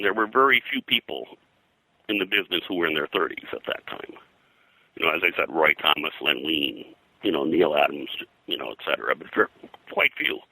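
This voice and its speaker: An older man reminiscing in an interview as a comics industry veteran, in a halting, rambling way peppered with "you know". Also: deep voice, creaky, sharp tone, dry recording, low-quality recording.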